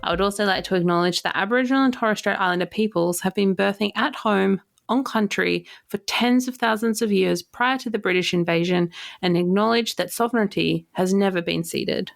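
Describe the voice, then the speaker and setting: A woman's voice: medium pitch, brisk, creaky, hardly any pauses. A woman, hosting a podcast, enthusiastic and filling gaps with "yeah". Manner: enthusiastic, many fillers and "yeah". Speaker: podcast host. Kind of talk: scripted intro then casual interview.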